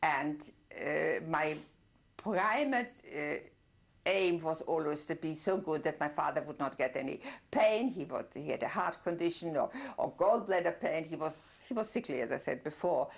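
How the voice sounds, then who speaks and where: An older woman whose first language is German, giving a reflective oral history testimony with many pauses and soft laughs. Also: deep voice, vocal fry. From Czernowitz, Bukovina. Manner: reflective, pausing often with fillers, soft laughs. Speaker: interviewee, Holocaust survivor.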